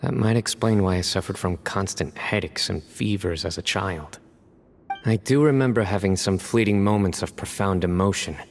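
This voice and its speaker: A man's voice: deep voice, slight background noise. An actor playing a stern, reserved young male guardian who talks tersely and seriously. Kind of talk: video game voice acting.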